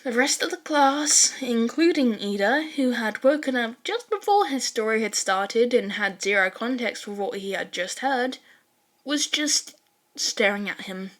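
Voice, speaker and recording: A woman narrating fan fiction in an expressive amateur audiobook style, with animated dramatic asides. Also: a little high, a little slow. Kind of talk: expressive amateur audiobook reading of a story, with dramatized character lines.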